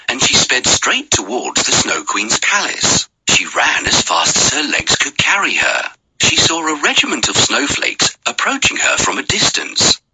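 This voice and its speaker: A man narrates a children's fairy tale with a bright, forceful edge and a very rough, husky grain. His pitch swings widely as he tells the story dramatically, in a scripted bedtime-book style. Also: rough recording.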